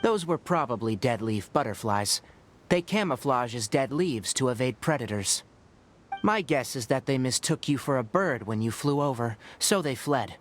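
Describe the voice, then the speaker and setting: A man voicing a patient, knowledgeable young ranger in a video game, calm and explanatory with a mildly teasing edge. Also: medium pitch, moderate pace, a little hiss or hum, natural voice. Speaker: forest ranger and guide. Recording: game audio with faint music behind. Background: faint game music.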